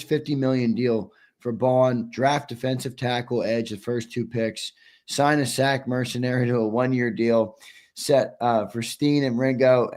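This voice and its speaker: A man's voice: medium pitch, a little mellow. A man hosting a casual sports talk show, upbeat and losing his voice. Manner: upbeat, reads viewer questions and ad reads. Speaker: host.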